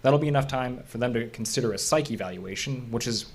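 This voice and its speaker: An actor playing a stern, world-weary park ranger, dry, blunt and skeptical, in an audio drama. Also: male voice, mid-range voice, quick pace, natural voice. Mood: firm, stern. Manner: dry, blunt, matter-of-fact.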